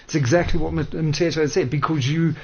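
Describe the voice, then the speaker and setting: A man hosting a radio interview, speaking in measured, formal phrases with hesitant pauses. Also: fairly high pitch, steady tone, slightly rough, lo-fi sound. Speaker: radio show host interviewing a guest.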